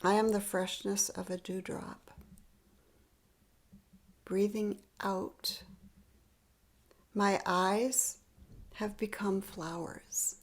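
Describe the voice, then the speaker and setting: Gentle woman guiding meditation over a video call; very slow, soft, unhurried. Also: mid-range voice, steady tone. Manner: soft-spoken, often half-whispered; gentle, unhurried, laughs lightly. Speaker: meditation leader. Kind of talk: guided spiritual practice.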